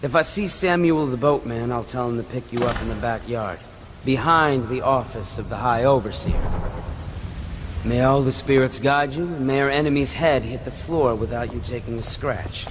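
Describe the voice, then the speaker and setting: A man plays a devout, grim conspirator in a video game. His mid-range voice is measured and secretive, giving instructions over faint background noise. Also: medium pitch, close to an everyday voice. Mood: grave, purposeful. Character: devout, grim conspirator briefing an assassin ally.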